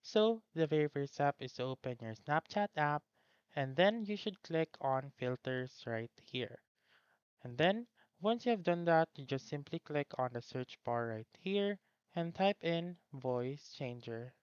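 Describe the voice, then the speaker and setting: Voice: medium pitch, animated, unhurried, clean tone, no room sound, dull audio. A tutorial host giving simple step-by-step instructions for a phone app in a voice-over.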